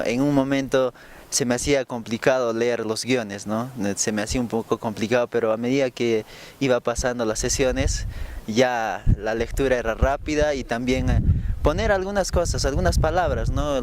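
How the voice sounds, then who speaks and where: A young man who works as a voice actor gives a reflective account in an interview, often ending phrases with a checking question. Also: mid-range voice. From Peru. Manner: frequent rhetorical tag questions. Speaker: radio drama voice actor.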